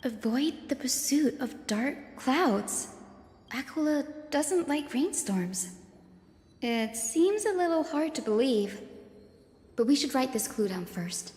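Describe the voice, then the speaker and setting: A curious young woman, thinking aloud in a high voice. Her lines ring with a long echoing reverb. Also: animated, slow, darkish tone. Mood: thoughtful. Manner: thoughtful, encouraging. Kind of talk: video game dub acting. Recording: echoey reverberant hall.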